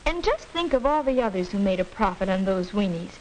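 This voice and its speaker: A young American woman playing an earnest, argumentative high school girl, emphatic and didactic, in a 1940s educational film. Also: lively intonation, a little fast, darkish tone, natural voice, poor audio. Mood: insistent. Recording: hissy 1940s film soundtrack.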